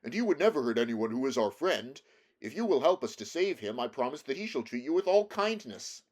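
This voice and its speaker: A man voicing a confident, helpful scarecrow from a storybook, brisk and emphatic. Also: mid-range voice, very animated, a little mellow, pitched above the performer's own voice. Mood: assuring. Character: male scarecrow, quick, confident, helpful planner. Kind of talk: storybook character reading.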